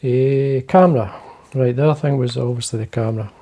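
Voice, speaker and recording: Quiet, gravelly Scottish man, rambling. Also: mid-range voice, fairly quick. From Scotland. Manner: quiet, rambling, many fillers and restarts. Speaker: fly-fishing video host. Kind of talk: casual talk to camera. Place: indoors, fly-tying desk.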